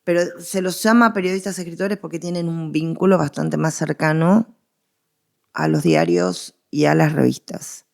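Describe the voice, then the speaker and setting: A woman's voice: sits low, fairly even intonation, normal speed, creaky, a little mellow. A woman with an Argentine accent explaining things as an interviewed expert, emphatic and given to repetition. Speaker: interviewed history and literature expert.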